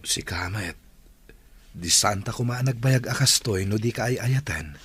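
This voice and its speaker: A man's voice: on the low side, unhurried, fairly bright tone. A man playing a devoted young lover, tender and sincere, in an Ilocano audio drama. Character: devoted young man declaring his love.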